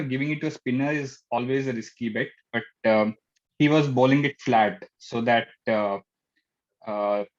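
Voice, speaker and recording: A man with an Indian accent giving casual match analysis as a panelist, with a halting, hesitant delivery. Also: medium pitch. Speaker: cricket show panelist. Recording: dull, lo-fi band-limited sound.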